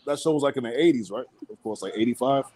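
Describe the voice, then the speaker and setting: A man in his thirties from Boston, a podcast guest with a relaxed, laid-back way of talking who laughs easily. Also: medium pitch, fast talker, a little hiss or hum. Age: 30s. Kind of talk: casual chat.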